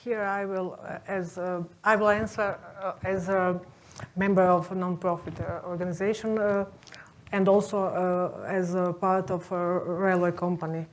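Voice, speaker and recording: Flat-toned woman with non-native English accent. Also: on the low side. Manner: halting, searching for words. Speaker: non-profit and railway panelist. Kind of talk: spontaneous panel answer.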